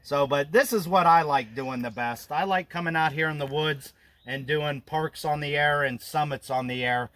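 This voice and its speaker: A man's voice: fairly high pitch, moderate pace, a bit bright. An American man in his fifties chatting casually as an amateur radio operator, with a friendly, folksy, talkative manner. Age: 50s. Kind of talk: casual ham radio conversation. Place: outdoors on a mountain trail.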